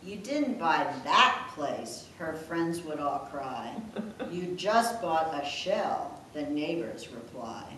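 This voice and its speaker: Older woman, unhurried poem reader, slightly low voice, heard from a distance in a reverberant room. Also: big pitch swings, fairly bright tone. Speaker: daughter reading her own birthday poem. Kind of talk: reading rhymed verse aloud with chatty asides. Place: echoing room at a family gathering. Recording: very echoey room, distant mic, faint noise.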